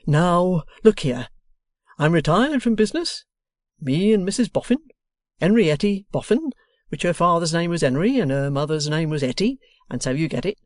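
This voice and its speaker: A reader voicing an excitable, uneducated retired old man who exclaims loudly, mangles his words and swings through a wide range of pitch. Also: normal speed, no room sound, studio quality, lightly acted. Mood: eager. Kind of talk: audiobook character reading.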